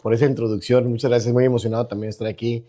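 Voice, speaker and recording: Middle-aged Mexican man, Mexican Spanish accent, mellow tone; podcast guest telling his story, loose and rambling with restarts. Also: mid-range voice, little pitch movement, rapid-fire. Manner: rambling with many restarts and tag questions. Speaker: guest entrepreneur. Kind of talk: casual interview talk. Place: his own podcast studio.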